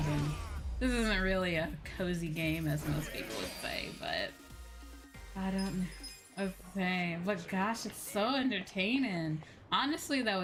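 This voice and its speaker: A woman chatting casually and with some self-deprecation as she livestreams a video game. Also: lowish voice. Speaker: streamer, virtual YouTuber. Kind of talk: casual livestream gaming chat. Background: game music behind the voice.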